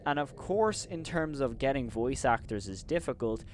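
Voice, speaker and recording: A man hosting a commentary video, giving opinionated criticism, leaning on "of course" and "in my opinion" and turning loud and incredulous when he rants. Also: medium pitch, animated, moderate pace, slight background noise. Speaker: host and reviewer.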